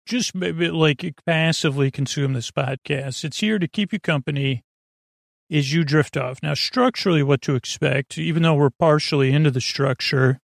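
Creaky-voiced man rambling through a bedtime podcast. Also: a little high, moderate pace, dry recording, studio quality. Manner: meandering, full of filler words and tangents; goofy, absurd, self-deprecating. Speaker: podcast host. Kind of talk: rambling bedtime monologue to help listeners sleep.

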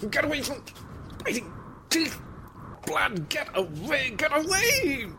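A man acts out a frayed, sleepless gentleman in a radio melodrama. His gravelly voice swings wildly in pitch as he mutters, gasps and whispers to himself in agitation, over a noisy track. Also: huge pitch swings, extremely slow, heavily acted. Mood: panicked terror. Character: nervous, sleepless wealthy man terrorized by a bat. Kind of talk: melodramatic radio drama acting.

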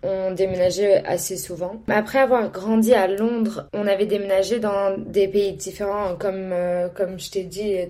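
Hesitant young woman, mellow voice. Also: medium pitch, steady tone, normal speed, few pauses. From Pakistan, raised in London. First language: English. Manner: hesitant, many fillers and restarts. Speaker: podcast guest. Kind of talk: casual interview.

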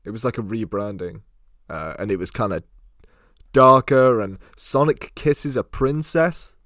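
A man in his twenties chatting casually as a podcast co-host, with an excitable, enthusiastic manner. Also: on the low side, unhurried, no room sound, clean studio sound. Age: 20s. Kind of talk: casual chat.

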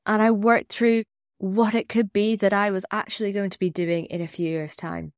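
A woman's voice: mid-range voice, level tone, moderate pace, dry recording, studio quality. A woman with a cold, sounding a bit snuffly, hosting her own podcast and reflecting candidly in a scripted solo talk. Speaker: podcast host.

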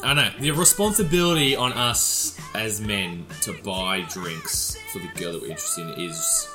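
A man's voice: on the low side, moderate pace, music in the background. A young man in his twenties hosting a casual podcast chat, joking and swearing freely. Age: 20s. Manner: laughing, swearing banter. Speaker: podcast host.

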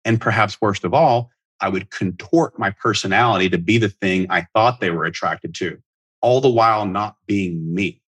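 A man in his thirties delivers scripted self-help advice like a podcast coach. His voice sits fairly low with a husky grain. He is earnest and instructive, stressing his points firmly, and the sound is very dry with no room around it. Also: normal speed, fairly bright tone, dry recording. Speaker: podcast host and life coach.

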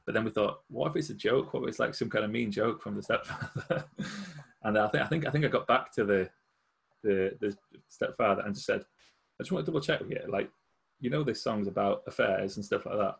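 A male host with a low, gravelly voice and a husky edge, racing through his stories. He talks casually and breaks into laughs often. He chats easily with his guest. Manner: quick anecdotal storytelling, laughs often. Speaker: musician. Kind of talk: casual chat.

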